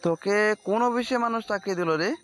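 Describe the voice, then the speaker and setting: Audio drama brother, male actor: light high voice, familiar and teasing, faint music underneath. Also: moderate pace, lightly acted. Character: playful, teasing, fond young elder brother.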